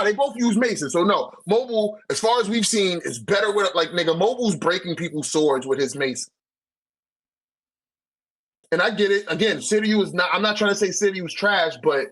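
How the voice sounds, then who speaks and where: A man's voice: high voice, a bit bright. A man arguing emphatically in casual, slangy talk, as in a debate between friends over manga.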